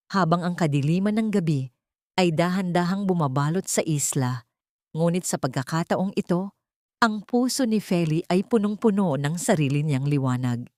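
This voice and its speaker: Low-voiced Filipino woman narrating a story. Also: a little mellow, no room sound, studio quality. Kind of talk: scripted dramatic story narration.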